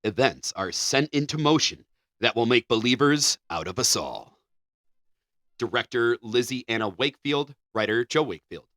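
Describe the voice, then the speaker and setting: A man co-hosting a casual podcast, quick to laugh at himself. His voice is bright and forceful. The sound is very dry. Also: mid-range voice, dry recording. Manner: laughing, self-deprecating. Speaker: podcast co-host. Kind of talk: casual chat.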